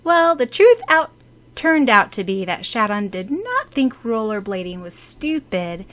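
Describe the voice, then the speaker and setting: A woman's voice: fairly high pitch, very animated, measured pace, fairly bright tone. Woman narrating an audiobook. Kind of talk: expressive scripted story narration.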